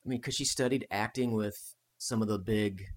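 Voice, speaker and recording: A man's voice: fairly low pitch, steady tone, moderate pace. Man chatting casually on a podcast. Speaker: podcast guest. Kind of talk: casual chat, storytelling about history.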